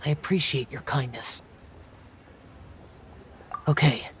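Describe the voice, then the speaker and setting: A reserved young male immortal, slow and halting in speech. He sounds aloof and formal, in game dialogue with faint ambient noise behind. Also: medium pitch, fairly bright tone. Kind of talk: scripted video game voice acting.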